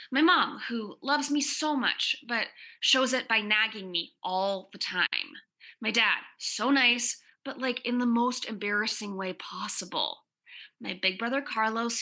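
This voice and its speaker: Woman playing bright, gushing teenage girl Lupe. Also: fairly high pitch, heavily acted. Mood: fond, exasperated. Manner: gushing, chatty teenage exaggeration. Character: Lupe, excitable nerdy teenage girl, self-deprecating and dramatic. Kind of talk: dramatic comic reading, first-person teen narration.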